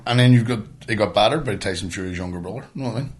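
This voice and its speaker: A man with a Northern Irish accent co-hosting a podcast in casual, sweary banter, blunt and colloquial and quick to argue. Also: fairly low pitch. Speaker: podcast co-host.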